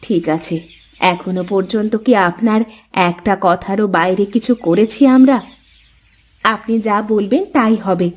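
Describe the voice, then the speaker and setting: A woman's voice: medium pitch, measured pace, close to an everyday voice. An obedient, affectionate elder wife in a fairy-tale cartoon, played by a woman. She talks in a sisterly, coaxing way.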